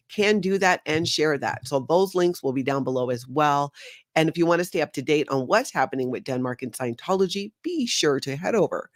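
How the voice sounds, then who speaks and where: A woman's voice: fairly low pitch, no echo. A middle-aged woman from Hawaii hosting a casual live stream from her home studio, bubbly and chatty, often rambling off topic. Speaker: host.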